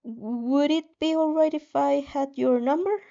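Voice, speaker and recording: A woman's voice: high-pitched, measured pace, gravelly, mellow, highs cut off, voice pushed up. A woman voicing a cheerful, awkward young woman who is eager to please and gushes hesitantly. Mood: nervous. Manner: gushing, hesitant.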